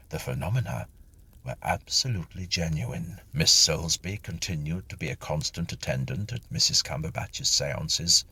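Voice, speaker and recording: Low, husky, expressive male storyteller. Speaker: audiobook narrator. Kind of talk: scripted literary narration of a whimsical ghost story.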